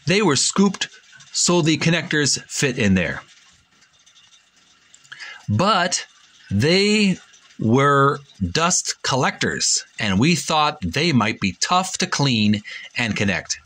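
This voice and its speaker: A man hosting a retro gaming channel, reading aloud from a book in deliberate, halting phrases and adding casual, folksy commentary. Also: fairly high pitch, sing-song, pushed and bright.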